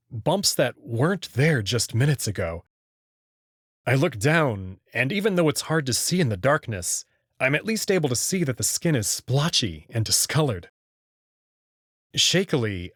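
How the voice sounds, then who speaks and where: A male narrator reads a visual novel in the first person. His voice is husky with lively, dramatic intonation, and the recording is dry. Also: mid-range voice, dry recording.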